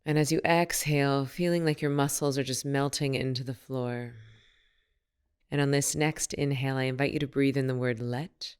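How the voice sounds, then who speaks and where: A woman leading a guided meditation as a teacher, speaking in a soothing, unhurried and gently instructive manner. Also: rumbling low, steady tone, clean tone.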